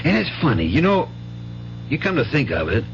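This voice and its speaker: A man's voice: medium pitch, huge pitch swings, a little fast, creaky. An actor playing a good-natured, rambling rural American father with a stammering, folksy delivery in a 1970s radio drama. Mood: excited, amused. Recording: dull hissy 1970s radio broadcast with hum.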